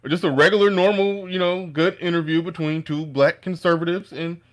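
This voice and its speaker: A man's voice: on the high side, steady tone, fairly quick. A man in casual online chat, rambling with many fillers.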